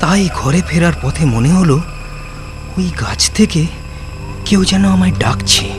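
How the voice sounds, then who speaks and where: Earnest, worried, animated village son. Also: male voice, fairly high pitch, a little slow, music in the background. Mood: alarmed, resolute. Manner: urgent, stammering repetitions. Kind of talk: Bengali children's cartoon acting.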